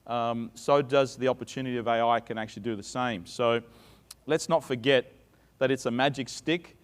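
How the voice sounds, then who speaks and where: A man's voice: mid-range voice, fairly even intonation, moderate pace, smooth. Australian man speaking in a big hall. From Sydney, Australia. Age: middle-aged. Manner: chatty, many fillers and restarts. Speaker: conference speaker. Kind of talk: conference keynote talk. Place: conference stage. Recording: reverberant conference hall.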